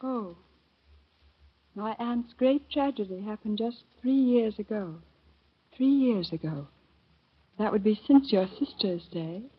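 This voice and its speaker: An actress playing a calm, sly teenage girl telling a grave tale, in 1930s radio acting style. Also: medium pitch, unhurried, long pauses, breathy edge. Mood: composed. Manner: composed, soft, grave. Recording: thin phone-like old radio recording.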